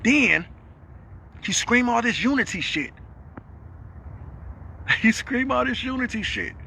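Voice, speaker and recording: Animated high-voiced man ranting. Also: takes it slowly, gravelly, a little hiss or hum. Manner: animated, repetitive, swings to shouting. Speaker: vlogger calling out a rival. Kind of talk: heated monologue.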